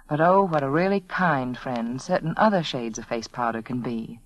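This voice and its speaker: A woman's voice: rumbling low, animated, normal speed, no echo. A woman reading a scripted 1940s radio commercial in a confiding, persuasive, emphatic way. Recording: dull vintage 1940s radio recording.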